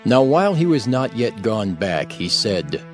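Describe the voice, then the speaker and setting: A man's voice: fairly low pitch, big pitch swings, unhurried, faint music behind. A man narrating scripture from a script as an audio Bible reader, formal and solemn.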